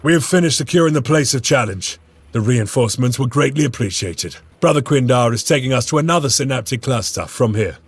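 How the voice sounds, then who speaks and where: An actor playing a stern space-warrior lieutenant who commands his brothers in battle, with a gravelly, raspy voice. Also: mid-range voice, lively intonation, fairly quick, no room sound. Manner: commanding, barks orders in battle.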